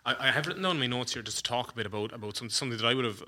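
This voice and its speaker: A man's voice: mid-range voice, very fast, sharp tone. A man with an Irish accent hosting a podcast, asking informal questions in a rambling, hesitant way. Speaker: podcast host. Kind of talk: informal interviewing.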